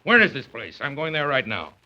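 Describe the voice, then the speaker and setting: A man's voice: medium pitch, big pitch swings, a little fast, sharp tone. An actor playing a stubborn, blustery middle-aged father in a 1930s radio comedy, speaking in emphatic, impatient exclamations. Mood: impatient, imperious. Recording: dull vintage 1930s radio broadcast.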